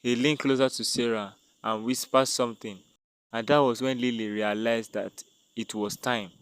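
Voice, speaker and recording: A man's voice: mid-range voice, fairly even intonation, moderate pace, smooth, no room sound. A man narrating a dramatic scripted tale as a storyteller.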